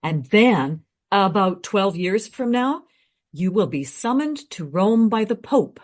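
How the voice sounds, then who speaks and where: A woman playing a well-informed, matter-of-fact history researcher in a radio play, explaining things briskly and frankly. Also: medium pitch, sing-song. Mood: informative, tactful.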